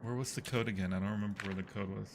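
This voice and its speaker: A man's voice: lowish voice, fairly even intonation, racing. A man hosting a livestream, giving casual, jokey commentary as he plays a horror game. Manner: jokey, exclaims and yelps when startled. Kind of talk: casual live gameplay commentary. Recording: clear background noise on a livestream.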